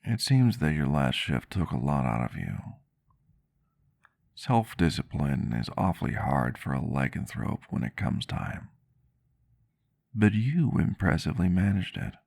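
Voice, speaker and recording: A man playing a gentle, courteous vampire doctor in an ASMR roleplay, soft-spoken, caring and formal in his wording, close to the mic. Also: rumbling low, very animated, measured pace, vocal fry, no room sound, full character voice. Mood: tender, reassuring. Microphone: close microphone, dry sound.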